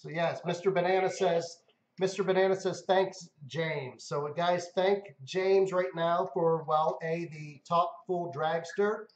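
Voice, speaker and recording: A man's voice: a little high, steady tone, measured pace. A man hosting a casual livestream, talking upbeat to his viewers. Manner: upbeat, talks to viewers, gives away cars. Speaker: livestream host. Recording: roomy, dull lo-fi sound.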